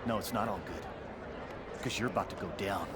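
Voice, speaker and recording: Guy playing a cocky self-important gamer champ for a game cutscene, super bombastic, loud crowd and street noise behind. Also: low-pitched, moderate pace, lightly acted. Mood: bombastic, indignant. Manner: pompous, bombastic, grandiose delivery. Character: boastful, swaggering self-styled video gaming champion, easily offended. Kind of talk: video game cutscene dialogue.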